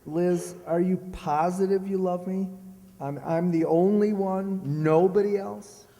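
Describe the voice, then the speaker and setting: An actor playing a sulky, jealous, self-pitying husband with a plaintive, halting delivery in radio comedy style. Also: male voice, high voice, fairly even intonation, mellow. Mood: needy, wounded. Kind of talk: old-time radio comedy acting, re-created. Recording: echoey hall, long reverb.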